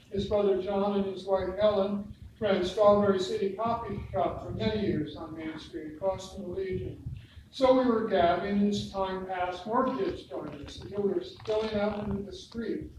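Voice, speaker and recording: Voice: medium pitch, a little mellow. An older speaker from Oregon with an American accent, reading written reminiscences aloud at a local talk. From Lebanon, Oregon, United States. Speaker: long-time resident speaker at local history talk. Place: indoor meeting room with hard walls. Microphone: far camera across the room. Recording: distant mic, very echoey noisy room.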